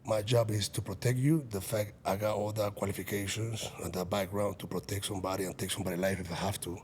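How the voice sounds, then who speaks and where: A man's voice: lowish voice, steady tone, fast talker, a little mellow, slight background noise. A man in his late thirties, an executive protection agent and business owner, talking earnestly in a casual podcast chat with frequent restarts. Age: 30s.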